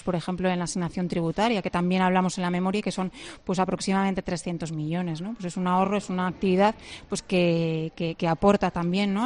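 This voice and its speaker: A woman's voice: fairly low pitch, a little mellow. A woman answering interview questions as a church spokesperson, hesitant and full of fillers.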